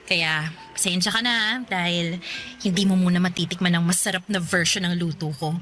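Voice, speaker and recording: A woman's voice: fairly low pitch, steady tone, a little fast, a bit bright, soft background music, natural voice. A woman acting a cheerful, career-minded young student sweetheart in a radio drama, chatty and playful with a Filipino accent. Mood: gently firm.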